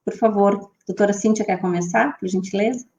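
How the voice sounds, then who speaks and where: Mellow-voiced woman host. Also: medium pitch, a little fast, a little hiss or hum. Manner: hesitant, with many restarts and fillers. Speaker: panel host. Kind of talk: online panel moderation.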